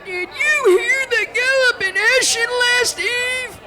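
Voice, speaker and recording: A young female student playing a teasing, excitable village gossip in a tavern scene of a radio play. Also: very high-pitched, takes it slowly. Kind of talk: school radio play acting. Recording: loud crowd, noisy and distant.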